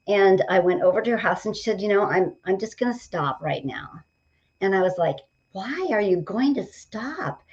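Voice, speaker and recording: Woman, late 60s, energetic motivational coach, upbeat storyteller, slightly dull lo-fi sound. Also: mid-range voice. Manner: energetic, upbeat, talks in stories and examples. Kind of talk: podcast conversation.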